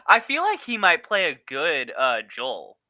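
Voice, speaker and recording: A man co-hosting a podcast in casual chat, speaking in a halting way with sentences that trail off. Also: high voice, pushed and bright, no echo, clean studio sound. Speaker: podcast co-host.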